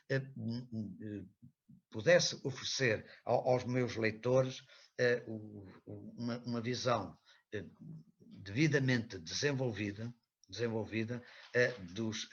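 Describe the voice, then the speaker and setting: An older man speaks as a professor addressing a seminar. His voice is mid-pitched and husky, and he builds long, learned sentences, often pausing and repeating words as he searches for the next phrase. The recording is a little dull and lo-fi. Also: fairly bright tone. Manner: halting, frequently hesitant, with repeated words and erudite, long-winded phrasing. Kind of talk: academic talk, semi-improvised lecture.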